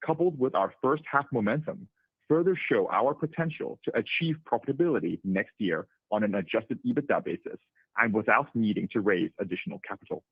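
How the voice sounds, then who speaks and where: A man's voice: medium pitch, husky, no room sound, narrow, tinny audio. A man delivering prepared corporate remarks as a chief executive over a conference call line.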